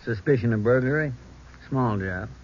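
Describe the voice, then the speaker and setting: A man's voice: medium pitch, normal speed, natural voice. An actor playing an obliging, matter-of-fact male station policeman in a 1940s radio drama. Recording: dull, noisy vintage 1940s radio recording.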